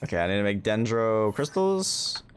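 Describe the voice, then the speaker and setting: A man's voice: fairly low pitch, big pitch swings, normal speed, a little hiss or hum. A tired man giving casual livestream commentary on a game, laid-back, with sarcastic asides and mild swearing. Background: game music.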